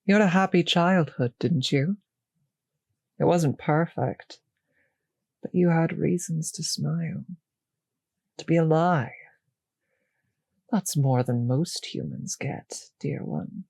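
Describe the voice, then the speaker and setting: A woman playing a refined, possessive vampire mistress in an intimate roleplay audio drama, teasing and condescending, with a breathy, husky voice that drifts into whispers. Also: deep voice, slow pace, pauses often, darkish tone, no echo, clean studio sound, exaggerated voice. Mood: stern, possessive.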